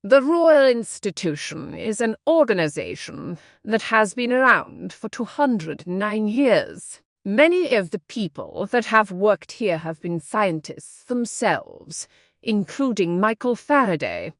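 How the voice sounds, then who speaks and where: A woman's voice: mid-range voice, sing-song, takes it slowly, dry recording, studio quality. A woman reading short educational passages aloud from a script as exam practice material.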